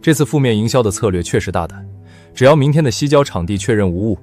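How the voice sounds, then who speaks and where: An actor playing a shrewd, imperious business executive in an animated drama, formal and cutting in manner. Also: male voice, fairly low pitch, fast, faint music behind, lightly acted. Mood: smooth, probing. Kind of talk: animated short drama acting.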